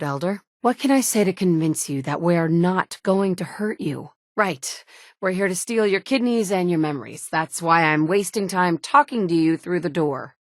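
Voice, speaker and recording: A woman's voice: lowish voice, no echo, clean studio sound. An actress voicing a resolute adult woman survivor in a video game, firm and direct with a sarcastic edge. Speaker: player character. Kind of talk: game voice acting, dialogue lines.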